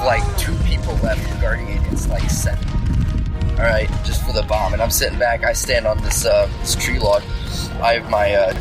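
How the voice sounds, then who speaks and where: A man telling a story casually and animatedly, swearing freely and acting out the sound effects with his mouth. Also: medium pitch. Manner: profane, acts out sound effects. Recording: music behind the voice.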